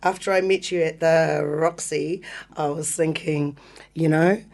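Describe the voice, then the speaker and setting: A woman hosts a radio chat in a studio. She talks in a loose, friendly, chatty way and laughs easily. Her voice sits slightly low. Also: fairly even intonation, a little slow, darkish tone. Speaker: radio podcast host. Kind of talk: casual chat.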